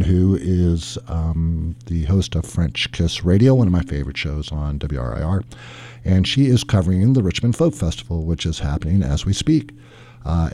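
A deep, mellow older man with a drawl, talking into a studio mic as a radio DJ. His voice runs a bit hoarse, and he chats in a loose, rambling way. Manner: rambling, chatty, easygoing storyteller. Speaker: radio DJ and show host. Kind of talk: casual radio patter between songs. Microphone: studio microphone.

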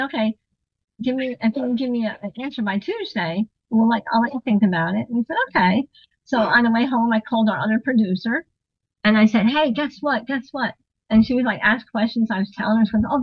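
A woman guest spins an animated story, acting out the dialogue and laughing along. Her voice sits fairly high. The sound is dry and a little dull, as if coming over a remote line. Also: no room sound. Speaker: interview guest, drummer and singer. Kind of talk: storytelling in a casual interview.

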